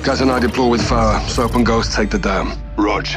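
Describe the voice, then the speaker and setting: An actor playing a hard-bitten, terse special forces captain in a military video game. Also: male voice, mid-range voice, steady tone, a little fast, natural voice. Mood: terse, wary. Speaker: soldier. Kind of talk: cinematic military game dialogue. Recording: music behind.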